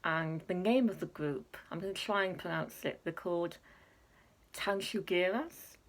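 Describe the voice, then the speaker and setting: A young woman chatting casually to camera, filling pauses with ums and laughing at herself. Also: on the low side. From Wales. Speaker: Eurovision reaction vlogger.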